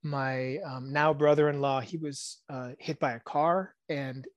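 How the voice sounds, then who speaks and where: Soft-spoken Israeli-born man, dry sound. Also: mid-range voice, steady tone, a little slow, no room sound, muffled recording. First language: Hebrew. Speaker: podcast guest, tabletop game designer. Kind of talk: casual interview chat.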